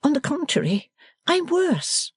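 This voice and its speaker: A woman's voice: high-pitched, sing-song, unhurried, dry recording, clean studio sound, pitched above the performer's own voice. A woman reader voicing a playful, teasing young woman who talks in an emphatic, theatrical way.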